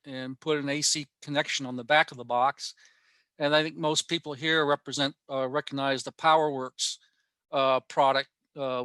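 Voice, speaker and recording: A man giving an informal technical presentation online, pausing often in mid-sentence as he explains. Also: fairly high pitch, sharp tone, clear, resonant tone, no room sound. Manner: halting, with frequent mid-sentence pauses. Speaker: amateur radio club presenter. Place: online workshop talk.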